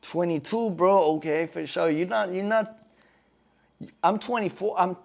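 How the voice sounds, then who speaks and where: A man's voice: sits high, measured pace, vocal fry, a little mellow. A man in his twenties chatting casually on a live stream in slangy talk, often calling viewers "bro". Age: 20s.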